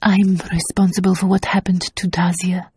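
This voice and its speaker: A remorseful mother, tearful and half-whispering, as a woman reading a storybook puts her on. The voice is low and breathy, close and dry. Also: steady tone, moderate pace, warm tone, no room sound. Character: remorseful mother, middle-aged noblewoman.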